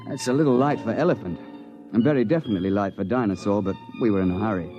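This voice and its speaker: Actor as British big-game hunter, muffled old radio. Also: male voice, lowish voice, mellow, natural voice. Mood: matter-of-fact. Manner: brisk, matter-of-fact, conversational storytelling. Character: seasoned male big-game hunter, brisk, authoritative safari guide. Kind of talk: 1950s radio drama acting, narration and dialogue. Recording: muffled 1950s radio recording, music behind.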